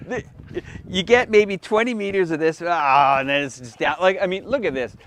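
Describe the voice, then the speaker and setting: Higher-voiced man over outdoor noise. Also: normal speed, fairly bright tone. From Canada. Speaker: live stream host. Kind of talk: casual interviewing and commentary. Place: outdoors on the Great Wall. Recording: noisy outdoor live stream.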